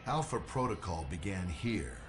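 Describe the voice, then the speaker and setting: An actor playing a cold, accusing man in a spy video game, with measured, dramatic delivery. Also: sits low, very animated, loud music behind the voice.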